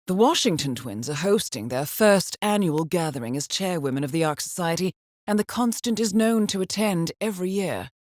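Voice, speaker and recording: An actress playing a composed, shrewd intelligence handler in a video game, giving mission briefings in a measured, precise and dryly wry way. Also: female voice, on the low side, darkish tone, no echo, studio quality.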